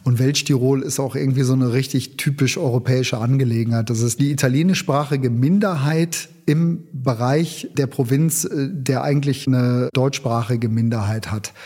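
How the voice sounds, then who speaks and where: A man in his fifties, born in northern Italy and raised in Germany, telling lively stories as a musician guest in an interview. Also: medium pitch, husky. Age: 50s. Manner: lively anecdotal storyteller, quick bursts. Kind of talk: casual storytelling interview.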